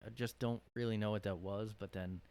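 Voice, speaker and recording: Guy rambling on his podcast alone in his room, lots of um and like, kinda low voice, tired from being sick. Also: steady tone, brisk, mellow, clean tone. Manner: rambling, hesitant, many fillers. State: worn out after a cold. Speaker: podcast host. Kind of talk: casual solo monologue.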